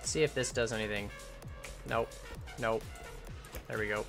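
A young man in his twenties chatting casually as he builds during a live game stream, rambling and thinking aloud, his voice starting to go hoarse. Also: on the low side, fairly even intonation, unhurried, over music. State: voice going hoarse. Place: home room at a computer.

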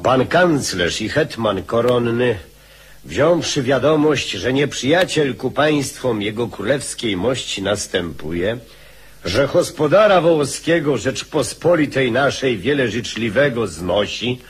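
Stately chronicler, radio play: mid-pitched, very husky, slow, formal narration. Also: male voice, animated. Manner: formal, measured. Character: formal, stately chronicler reading an official account.

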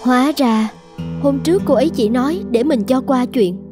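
A woman's voice: high-pitched, normal speed, music in the background, close to an everyday voice. A woman playing a firm, polite young mother in a scripted cartoon, reasoning earnestly. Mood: reflective.

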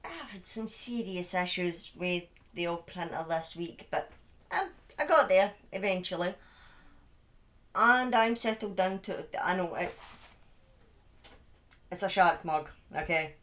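A woman's voice: on the low side, lively intonation, unhurried, long pauses, a bit bright. British woman streamer with a speech impediment. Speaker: live streamer telling true crime stories. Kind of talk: casual livestream chat and storytelling. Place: small dim room indoors. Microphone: desk microphone on a boom arm, close.

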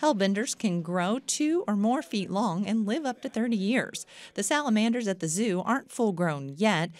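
A woman news reporter reading a scripted report with a lively delivery. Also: mid-range voice, moderate pace.

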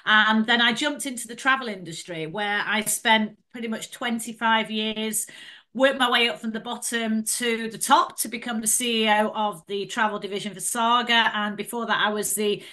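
A woman's voice: on the high side, fairly even intonation, normal speed, low-quality recording. A woman in her fifties with a Mancunian accent, chatting as an interview guest about her career. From north of England. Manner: chatty, warm storytelling with frequent "um" and "you know". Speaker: guest, entrepreneur and podcaster. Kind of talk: casual interview chat.

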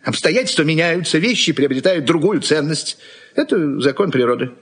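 An actor in a Soviet-era radio play, playing a busy, touchy middle-aged husband who grumbles and talks in a curt, sardonic way. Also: male voice, a little high, expressive, moderate pace, fairly bright tone, husky. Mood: irritated. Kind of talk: Soviet 1980s radio drama acting.

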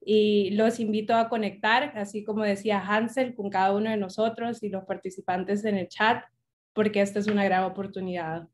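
A woman of twenty-two, speaking in a composed, clear way as a webinar panelist on a video call. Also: mid-range voice, little pitch movement, normal speed. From El Salvador. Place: indoor office. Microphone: video call with earbuds.

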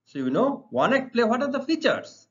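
Indian man teaching, highly animated pitch. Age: middle-aged. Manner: deliberate, repetitive, emphatic phrasing. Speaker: English teacher. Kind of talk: exam lesson explained to students. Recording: dull, lo-fi sound.

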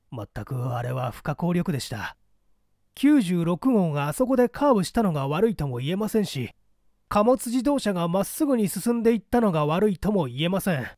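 A man's voice: high-pitched, a little mellow, no room sound, clean studio sound. A man voicing an earnest, shaken traffic policeman who explains politely and emphatically in an audio story.

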